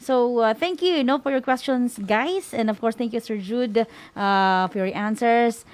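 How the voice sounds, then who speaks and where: A woman's voice: a little high, a little slow. A woman with a Visayan Filipino accent casually co-hosting an online class and relaying comments, switching between languages. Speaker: co-host reading viewer comments.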